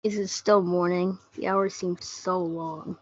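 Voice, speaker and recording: A woman's voice: fairly low pitch, fairly even intonation, measured pace, no echo. A female student performer playing a lovesick, gloomy young man in a reader's theatre play. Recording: dull, muffled lo-fi audio.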